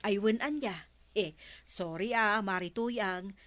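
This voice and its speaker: A woman's voice: medium pitch, slow pace, fairly bright tone, dry recording. A woman playing a teasing village storekeeper in an Ilocano audio drama, with an Ilocano accent. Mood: teasing, amused.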